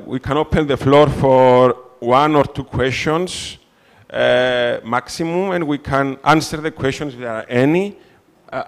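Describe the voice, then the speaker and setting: A man with a Cypriot Greek accent moderating a conference panel discussion, speaking haltingly with many filler sounds. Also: mid-range voice. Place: conference hall.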